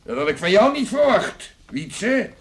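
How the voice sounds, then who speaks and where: An actor playing a middle-aged village father, gruff, protective and emphatic, in a Dutch radio drama. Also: high-pitched, sing-song, a little slow, heavily acted. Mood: indignant.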